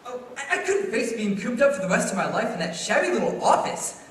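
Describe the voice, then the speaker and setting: Young actor as an eager dreamer in an echoey hall. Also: male voice, extremely high pitch, brisk, heavily acted. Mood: earnest, frustrated. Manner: hesitant, stammering, excitable. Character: eager, restless young man dreaming of escape. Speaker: school play actor. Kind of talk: stage radio play acting. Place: school theater stage. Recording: echoey hall, distant mic, faint noise.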